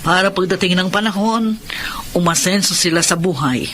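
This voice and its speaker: A woman's voice: lowish voice, normal speed, a bit bright, slight background noise, natural voice. Woman acting a kindly, firm lady boss. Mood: friendly, businesslike. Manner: reassuring, inquisitive, motherly but firm. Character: kindly, authoritative married lady lawyer and business owner. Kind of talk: Tagalog radio-style drama acting.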